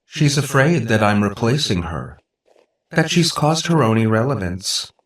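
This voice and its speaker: A man's voice: medium pitch, big pitch swings, measured pace, reverberant, distant mic, pitched above the performer's own voice. A man voicing a robot girl in an audiobook, sweet and affectionate on the surface, clingy and manipulative, with precise, insistent phrasing. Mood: quietly manipulative. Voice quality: synthetic, affectionate tone.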